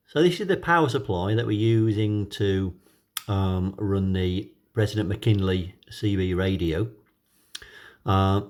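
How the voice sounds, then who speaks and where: A man presents a hobby demonstration. His delivery is casual and unhurried, with hesitations as he thinks out loud while he works. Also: on the low side. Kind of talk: casual hands-on demonstration narration.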